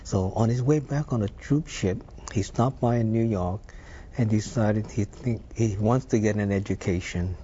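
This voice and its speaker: An older man from Hawaii recalls his childhood in an unhurried, reflective voice. His tone is low and mellow. The audio is dull and lo-fi, with faint noise in the background. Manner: unhurried, thoughtful storytelling with filler words. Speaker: interview guest. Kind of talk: reminiscing interview.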